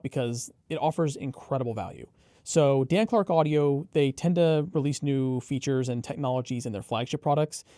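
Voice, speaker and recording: A man's voice: medium pitch, dark tone, dry recording, clean studio sound. A man co-hosting a conversational product presentation, agreeable and appreciative in manner.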